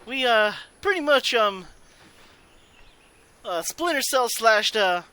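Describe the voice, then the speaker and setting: A man giving casual, rambling let's play commentary as a gaming host, often halting and trailing off mid-sentence. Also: very high-pitched, expressive, bright, forceful tone, clear, resonant tone. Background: video game music.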